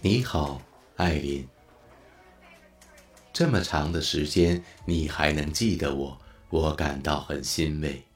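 A man playing an ancient, benevolent cosmic being in an audio drama, speaking slowly and solemnly with a booming, sonorous voice. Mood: solemn, reassuring.

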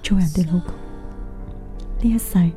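A woman's voice: lowish voice, normal speed, mellow, lightly acted. A woman playing a tender, tearful young ghost bride in radio drama. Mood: tearful, loving. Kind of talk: Cantonese radio drama acting. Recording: music under the voice.

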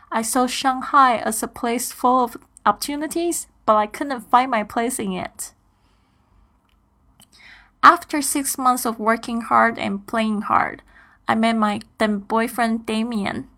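A woman in her thirties from Taiwan, hosting a podcast and reading a prepared introduction in a steady, earnest, upbeat way. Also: a little high. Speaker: podcast host.

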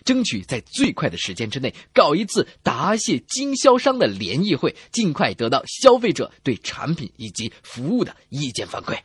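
A man's voice: a little high, huge pitch swings, normal speed, few pauses, husky, dry recording. A man co-hosting a scripted comedy radio show, bantering and telling jokes in a playful, theatrical way.

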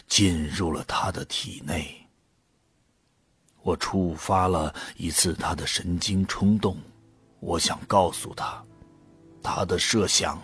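Guy acting wistful resolute hero narrating audio drama, really deep voice, music behind him. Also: husky, no room sound, full character voice. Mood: fading, earnest. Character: male first-person hero, resolute, wistful, near death. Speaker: narrator and lead actor. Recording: voice under prominent background music.

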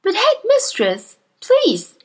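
A woman voicing a gentle young female schoolteacher who pleads earnestly in a high voice. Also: wildly swooping pitch, slow, full character voice. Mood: earnest pleading.

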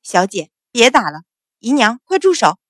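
A woman's voice: fairly high pitch, normal speed, fairly bright tone, no echo, clean studio sound, natural voice. A woman voicing a frightened, loyal maidservant, pleading submissively in a storybook drama. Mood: urgent, pleading. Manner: pleading, anxious.

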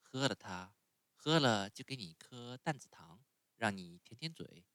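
A storyteller voicing a patient, motherly fairy who gently coaxes a sick child. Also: mid-range voice, expressive, normal speed, dry recording, clean studio sound, close to an everyday voice. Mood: coaxing. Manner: soft, coaxing, gentle.

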